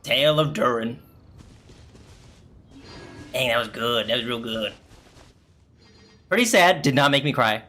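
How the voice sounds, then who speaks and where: Guy gamer commentating casually, bright forward voice, rambles a bit, faint music behind. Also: mid-range voice, expressive, unhurried, pauses often. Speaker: gaming streamer.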